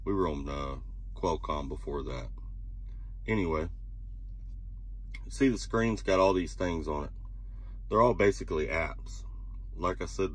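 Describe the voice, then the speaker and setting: A man who drives trucks, talking casually and plainly as he walks through his cab tablet in a dark truck cab. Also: deep voice, slight background noise. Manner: plain-spoken, rambling, uses "like" and "yada yada". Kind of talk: casual screen walkthrough.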